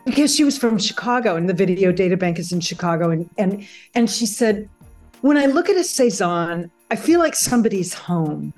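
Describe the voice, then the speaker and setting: A woman's voice: medium pitch, sing-song, moderate pace, a little mellow, faint music behind. A woman guest in a reflective conversational interview, thoughtful and searching for her words.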